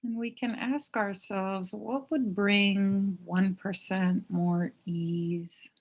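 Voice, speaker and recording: A woman teaching mindfulness, leading a guided meditation in a gentle, unhurried manner with long pauses. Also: medium pitch, fairly even intonation.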